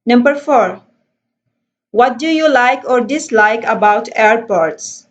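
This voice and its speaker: A woman teaching an English lesson, explaining slowly and deliberately and reading questions aloud. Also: a little high, lively intonation. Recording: dull lo-fi voice recording.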